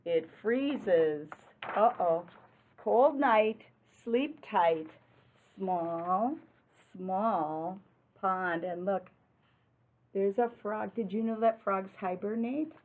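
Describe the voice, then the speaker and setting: A woman reading a picture book aloud to young children, explaining in simple words, asking questions and stressing rhyming words. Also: medium pitch. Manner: simple child-directed talk with frequent questions; deliberate, emphatic delivery of rhyming words. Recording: muffled, phone-like narrow-band sound.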